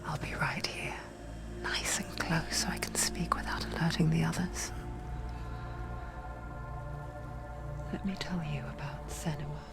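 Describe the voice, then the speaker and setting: An actress playing a hushed, knowing narrator voice in a video game, whispering slowly and intimately with a foreboding, confiding manner. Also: female voice, low voice, fairly even intonation. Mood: intimate, welcoming. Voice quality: breathy whisper. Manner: slow, confiding, close to the listener, foreboding. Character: hushed, knowing female narrator voice inside a warrior's mind. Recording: loud music under the voice. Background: loud music.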